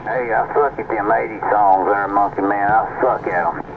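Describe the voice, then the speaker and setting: Through heavy static and a thin, narrow CB channel comes a man with a lowish voice. He rambles in a folksy, casual way and repeats himself as he goes. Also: steady tone, normal speed, vocal fry. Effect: CB radio transmission. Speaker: CB radio hobbyist. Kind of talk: casual chat over CB radio. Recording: very noisy, narrow-band CB radio. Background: loud radio static.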